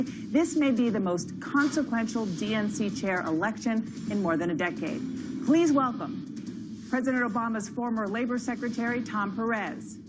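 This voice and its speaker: A woman's voice: mid-range voice, heavy background noise. Woman moderating a televised debate. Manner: crisp scripted delivery.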